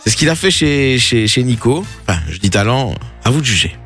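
A man's voice: medium pitch, sing-song, a little fast, a bit bright. A man hosting an evening radio show, recapping clips with sarcastic jokes and teasing asides. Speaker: radio host. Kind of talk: mocking radio recap banter. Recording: radio broadcast with faint music bed.

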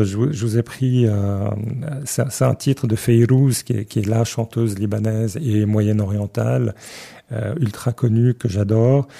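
A reserved man with a Genevan accent, whose gravelly, grainy voice sits very dark and mellow. He answers a radio interviewer hesitantly, restarting his phrases as he searches for words. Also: fairly low pitch. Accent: Genevan French. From Switzerland (Geneva). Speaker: guest author.

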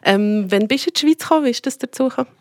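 A woman with a Swiss German accent, hosting a casual interview. She is chatty and keeps up quick follow-up questions. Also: high voice, expressive, dry recording, clean studio sound. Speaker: radio show host.

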